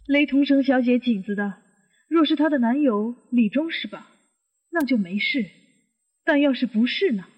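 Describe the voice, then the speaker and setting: An actress playing a suspicious middle-aged aunt who questions and reasons step by step in a Chinese audio detective drama. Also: female voice, sits high, lively intonation, normal speed, slightly rough. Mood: suspicious, probing. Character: inquisitive, suspicious middle-aged aunt probing a supposed suicide. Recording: muffled, long hall reverb.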